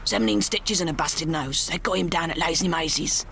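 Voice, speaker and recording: A woman voicing a rough, jumpy male gangster who talks in urgent, blunt slang in an audiobook story. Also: deep voice, brisk, fairly bright tone, a little hiss or hum. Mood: feverish. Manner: urgent, blunt, slangy, through clenched teeth.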